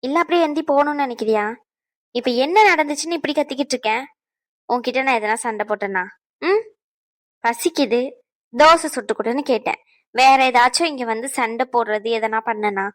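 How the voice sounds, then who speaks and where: A woman's voice: fairly high pitch, fairly quick, pauses often, pitched below the performer's own voice, close to an everyday voice. A woman playing sulky young Reshma. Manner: flat, sulky, defensive.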